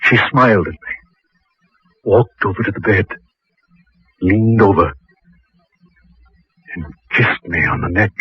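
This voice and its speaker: A haunted widower confiding his tale with quiet menace in a radio play. His voice is very low and thoroughly husky. The recording is dull, with music around it. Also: takes it slowly, long pauses, no room sound, heavily acted. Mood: haunted, dreamy. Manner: dramatic, intimate first-person narrating. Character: haunted widower who turns sinister, confiding storyteller. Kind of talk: radio drama acting.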